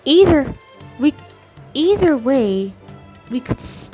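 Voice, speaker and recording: Woman gamer, high voice, very slow halting delivery, casual commentary, faint music. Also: sing-song, warm tone.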